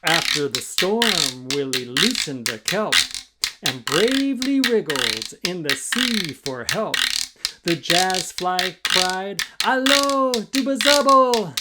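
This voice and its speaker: A man tells a musical picture-book story to young listeners. He takes his time, with a deliberate, rhythmic delivery and a theatrical read-aloud style. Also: a little high, very animated, heavy background noise. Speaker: children's author reading own book.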